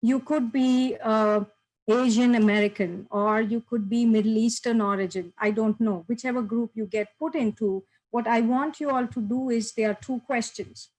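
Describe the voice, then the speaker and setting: A woman from India with a fairly high voice leads participants through an online workshop. She speaks in an encouraging way and laughs easily. The sound is from a video call. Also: steady tone, normal speed, darkish tone, poor audio. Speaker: workshop presenter.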